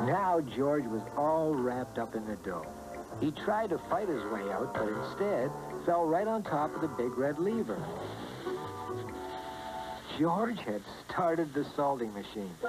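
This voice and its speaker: Guy narrating a kids storybook cartoon, mid pitch, really animated, music loud behind. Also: rough recording.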